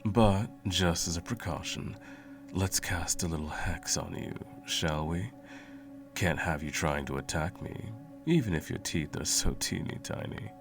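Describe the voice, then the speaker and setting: A man playing a sadistic sorcerer in an ASMR roleplay, cooing mockingly and condescendingly at a captive pet in a low gravelly murmur. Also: measured pace, over music. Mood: smug, teasing. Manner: teasing, cooing, condescending, theatrical.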